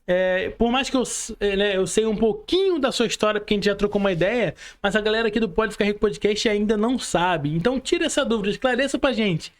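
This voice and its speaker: High-pitched Brazilian man hosting a podcast. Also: quick pace, few pauses. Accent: Brazilian Portuguese. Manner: chummy, informal address with slang. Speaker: podcast host and interviewer. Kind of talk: casual podcast interview.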